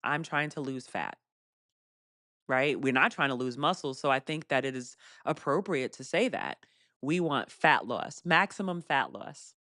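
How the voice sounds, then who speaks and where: A woman with a fairly deep voice hosting a casual live stream Q&A. She laughs often and reads viewer comments aloud. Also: animated, unhurried, fairly bright tone, dry recording, studio quality. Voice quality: deeper voice for a woman.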